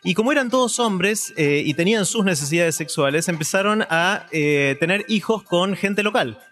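An Argentine man with a somewhat high voice tells a story as a radio columnist. He talks in a lively, casual way and leans on "eh" between his thoughts. A faint bed of music plays under his voice. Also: moderate pace. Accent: Argentine Spanish. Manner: storytelling, animated, with filler "eh".

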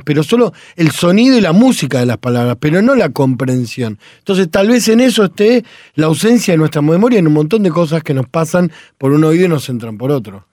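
A man with an Argentine Spanish accent, a radio co-host chatting casually with jokey, animated asides. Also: on the high side, dry recording, studio quality.

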